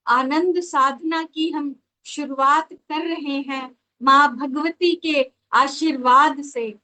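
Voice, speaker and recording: A woman giving a devotional lecture as a spiritual teacher, in a measured, devout, instructive manner. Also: high voice, bright, forceful tone, low-quality recording. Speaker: spiritual teacher and astrologer leading an online session. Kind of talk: devotional lecture presenting with slides.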